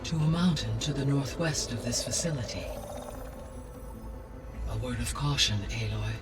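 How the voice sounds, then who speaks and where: An actor voicing a grave, courteous artificial intelligence in a video game, speaking in formal, measured, precise phrases. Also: male voice, medium pitch, distant mic, over music, close to an everyday voice. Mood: cautious. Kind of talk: video game cutscene acting.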